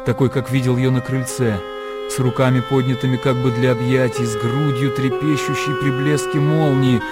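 A man narrating a classic story for a radio play in an expressive literary style. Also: mid-range voice, normal speed, loud music behind the voice.

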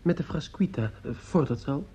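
A man's voice: fairly high pitch, animated, fairly quick, warm tone, breathy edge. An actor playing a polite, reserved young man in a radio play, hesitant and deferential in his speech. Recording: dull, muffled radio play recording.

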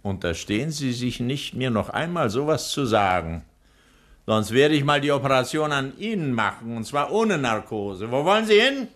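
A vain surgeon who leads a gang in a vintage radio thriller. He savours his words with haughty menace, and his pitch rises and falls widely. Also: big pitch swings, moderate pace, a bit bright. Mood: threatening. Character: sinister, vain male surgeon and gang boss, father. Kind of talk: 1960s German radio crime drama acting.

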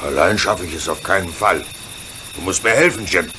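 An actor playing a gruff, hearty, good-natured locomotive driver in a German radio play. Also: male voice, sits low, normal speed, background noise, natural voice. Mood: worried, explaining.

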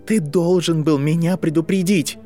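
Man doing a high-pitched, furious young boy wizard. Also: big pitch swings, moderate pace, soft background music. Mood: shouting, outraged. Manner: trembling, shouting, accusatory delivery. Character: furious, anguished boy wizard, sharp and accusing.

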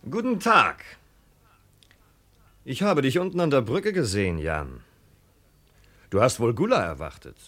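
Sneering farmer with swooping pitch. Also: male voice, sing-song, a little slow, long pauses, fairly bright tone. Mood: mocking. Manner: sneering, cutting, overbearing. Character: hard, greedy, scornful farmer son-in-law. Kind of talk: 1950s German radio play acting. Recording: vintage 1950 radio recording.

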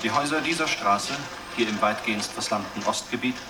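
A man's voice: on the low side, fairly quick, noisy background, lightly acted. A man playing a matter-of-fact crime documentary narrator in a radio drama, with clipped, sober scripted delivery. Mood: sober, factual. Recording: distant voice under loud music.

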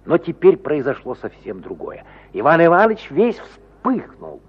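A man's voice: on the high side, wildly swooping pitch, normal speed, slightly rough, exaggerated voice. A man narrating a literary radio play in a theatrical, dramatic storytelling manner. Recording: tinny, narrow-band 1950 radio recording.

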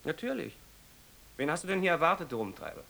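An actor playing a casual, teasing brother in a radio play. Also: male voice, fairly high pitch, big pitch swings, fast, vocal fry, pushed and bright, full character voice. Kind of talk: German radio play acting. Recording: long reverb.

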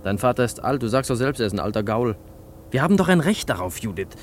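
A man's voice: medium pitch, sing-song, fast, slight background noise, lightly acted. An actor playing an earnest young refugee engineer who pleads hesitantly and urgently with his sweetheart in a radio drama. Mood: urgent pleading. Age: young adult.

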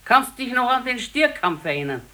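Radio play: old Bavarian wife, plain-spoken and resigned, patiently consoling, naturalistic delivery. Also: female voice, fairly high pitch, very animated, moderate pace, bright, forceful tone. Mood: wistful. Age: older. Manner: simple, matter-of-fact, resigned. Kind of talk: naturalistic 1970s radio drama acting.

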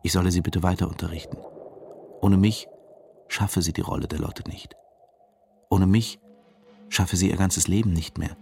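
Low, creaky, brooding diving instructor. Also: male voice, steady tone, normal speed, long pauses, mellow. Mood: reflective, brooding. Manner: reflective, literary narrating. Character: brooding diving instructor, weary and easily swayed. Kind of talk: radio drama first-person narration and dialogue. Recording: music behind the voice.

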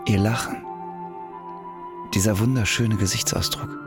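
An actor playing a weary convict in a radio drama, speaking his quiet, bitter thoughts. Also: fairly low pitch, steady tone, measured pace, over music, close to an everyday voice. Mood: brooding. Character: weary convict wrongly jailed, man in his prime. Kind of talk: radio drama inner monologue.

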